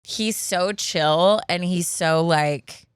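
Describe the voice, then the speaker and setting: A woman co-hosting a podcast, chatting casually and reacting quickly with lots of fillers. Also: on the low side, smooth, no echo, studio quality. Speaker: podcast co-host. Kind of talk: casual chat.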